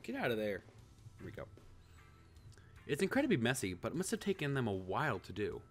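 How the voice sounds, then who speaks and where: A man's voice: mid-range voice, huge pitch swings, brisk, soft background music. A man giving casual, rambling let's play commentary and reading a visual novel aloud, easily amused. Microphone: handheld microphone on a cord.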